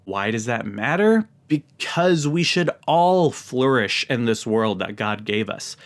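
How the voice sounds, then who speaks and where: A man speaking as a video host, giving reflective commentary to viewers in an earnest, measured manner. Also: medium pitch, sing-song.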